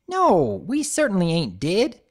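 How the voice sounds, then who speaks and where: A woman's voice: medium pitch, wildly swooping pitch, a little slow, voice pushed up. A woman voicing a plucky young country filly who speaks bluntly, with folksy slang. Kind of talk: dramatic audiobook reading.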